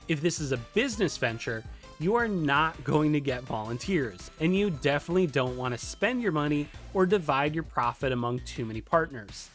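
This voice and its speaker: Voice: medium pitch, lively intonation, moderate pace. A presenter narrating a scripted tutorial in a conversational, lightly playful way. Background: music.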